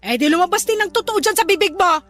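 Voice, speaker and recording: A woman acting in a radio drama as a scornful, nagging older mother-in-law with a sharp, sarcastic, scolding manner. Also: extremely high pitch, animated, fast, faint music behind. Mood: sarcastic, suspicious. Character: scornful, nagging older mother-in-law who belittles her daughter's partner.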